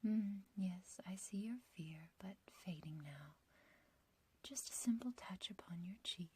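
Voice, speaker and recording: A woman's voice: medium pitch, measured pace, darkish tone, a little hiss or hum, natural voice. A woman playing an ancient but youthful witch in a whispered ASMR roleplay, obsessively loving and possessive, with archaic, intimate phrasing. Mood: adoring, possessive. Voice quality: breathy, whispery.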